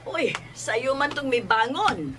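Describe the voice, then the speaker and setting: Woman acting an unhurried mother, fairly high voice. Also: sing-song, very noisy, heavily acted. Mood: calm, puzzled. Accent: Cebuano. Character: unhurried older mother of the household. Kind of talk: comic Filipino radio-style drama acting.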